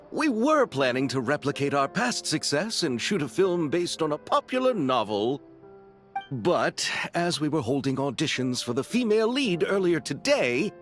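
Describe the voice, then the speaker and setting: A man's voice: fairly high pitch, fairly bright tone. A man voicing a flamboyant, dreamy film producer in a game, speaking in theatrical, florid phrases. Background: music.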